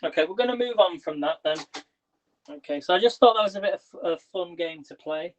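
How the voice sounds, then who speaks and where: A slightly high-voiced man chats casually to viewers while tidying his kitchen, his voice wearing thin. He rambles and restarts his questions. The sound is dull and lo-fi, like livestream audio. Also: expressive, a little fast, noisy background. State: losing his voice. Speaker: livestream host. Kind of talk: casual live-stream chat.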